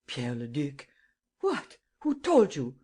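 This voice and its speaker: A reader voicing an excitable, secretive old man with a German accent, who stammers and bursts into high exclamations. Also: normal speed. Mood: alarmed.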